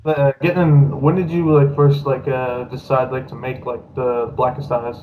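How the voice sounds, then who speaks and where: A young man in his twenties rambles cheerfully through a casual group chat, leaning heavily on fillers. His voice is mid-pitched. The recording sounds dull and lo-fi. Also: flat delivery, normal speed, slight background noise. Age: 20s. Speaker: movie review host. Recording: dull lo-fi mic.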